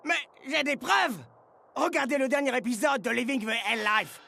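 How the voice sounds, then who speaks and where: A man's voice: very high-pitched, normal speed, vocal fry, sharp tone, exaggerated voice. A man voicing a vain, dramatic football star in a cartoon, boastful and theatrical. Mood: pleading. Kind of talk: animated cartoon dub acting.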